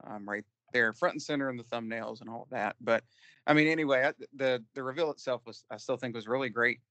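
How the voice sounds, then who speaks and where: A man's voice: medium pitch, quick pace, sharp tone, no room sound. A man in a mid-range voice chatting on a podcast. He stumbles and restarts often, leaning on ums and likes. The sound is dry and close. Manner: hesitant, restarting often with fillers. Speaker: podcast co-host. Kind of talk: casual chat.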